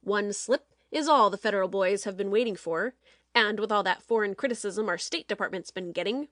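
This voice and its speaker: A woman playing a domineering, cold-blooded TV producer in a dramatic audiobook, speaking in a forceful, pointed way. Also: medium pitch, a little fast, no echo, clean studio sound, natural voice. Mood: grave, scheming. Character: hard, calculating TV show producer, domineering.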